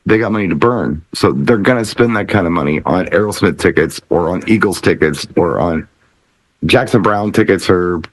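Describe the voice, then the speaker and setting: A man's voice: low voice, moderate pace, dry recording, rough recording. A middle-aged American man in casual panel chat, blunt and slangy, repeating phrases for emphasis. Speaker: DJ and podcast panelist.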